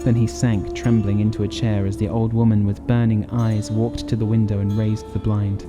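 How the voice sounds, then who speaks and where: A man's voice: lowish voice, flat delivery, normal speed, music in the background. A man narrating a horror audiobook in a dramatic, scripted reading style.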